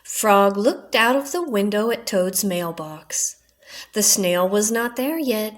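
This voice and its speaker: A woman's voice: medium pitch, expressive, slow. An older woman narrating a children's storybook as a storyteller.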